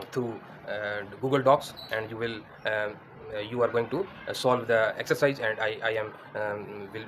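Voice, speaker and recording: Teacher in his thirties, mid-pitched, hesitant lesson delivery, close mic indoors. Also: fairly bright tone, slight background noise. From Pakistan. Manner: hesitant, many fillers and restarts. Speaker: English teacher. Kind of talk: spoken grammar lesson. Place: indoors against a plain wall.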